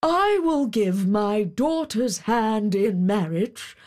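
Woman acting out a pompous, very slow-spoken king. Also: mid-range voice, lively intonation, a little mellow. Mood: regal, pronouncing. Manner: pompous, deliberate proclaiming. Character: pompous king, a father judging a contest. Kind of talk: storybook character voice.

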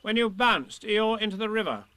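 A man voicing a fussy, self-important male rabbit in a storybook reading, speaking briskly and officiously. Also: sits high, animated, pushed and bright, smooth, voice pushed up.

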